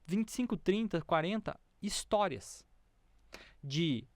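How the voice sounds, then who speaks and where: A man with a Brazilian accent hosting a conversational interview, telling anecdotes in an animated way and repeating himself for emphasis. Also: high-pitched, slow pace, no room sound. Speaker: podcast host.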